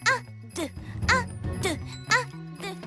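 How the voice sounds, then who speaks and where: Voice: very high-pitched, animated, takes it slowly, full character voice. An actor voicing a careful, sensible young child superhero in a French cartoon, coaching and cautioning. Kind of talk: French cartoon dub acting. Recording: music behind the voice.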